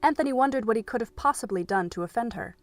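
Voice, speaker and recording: A woman's voice: a little high, animated, fast, no echo. A woman narrating a scripted story as the narrator of an audio drama.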